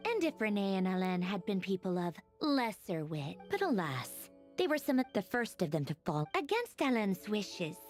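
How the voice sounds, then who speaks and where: An actress playing a haughty, witty woman inventor in a video game, guarded yet wistful, speaking in a wry, articulate way. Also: mid-range voice. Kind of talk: scripted video game story dialogue.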